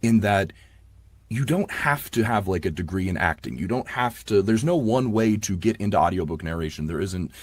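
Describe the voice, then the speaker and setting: Deep, husky-voiced man in conversation. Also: lively intonation, moderate pace, no room sound. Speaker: guest, audiobook narrator and producer. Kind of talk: casual interview conversation.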